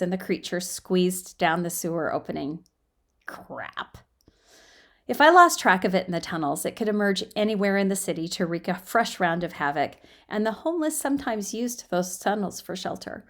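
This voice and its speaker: Lower-voiced woman reading fiction dramatically. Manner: expressive, emphatic, with occasional stumbles over words. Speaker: amateur storyteller reading aloud. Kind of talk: dramatic audiobook-style fiction narration.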